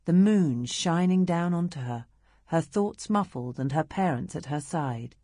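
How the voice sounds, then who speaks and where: Low-voiced British woman narrating an audiobook. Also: no echo, studio quality. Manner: measured, expressive storytelling. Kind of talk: scripted literary narration.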